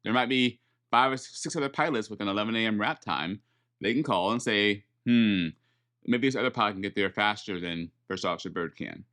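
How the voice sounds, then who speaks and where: A man, an airline pilot, talking casually and explaining in detail, step by step, with halting phrasing. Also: lowish voice, a bit bright. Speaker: airline pilot, first officer.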